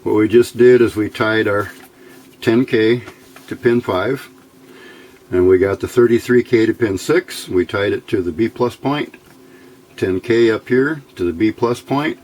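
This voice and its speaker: A man's voice: lowish voice, measured pace, darkish tone, a little hiss or hum. A man giving an informal hands-on electronics tutorial, thinking aloud as he works. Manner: unscripted, thinking aloud while working. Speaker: electronics hobbyist instructor.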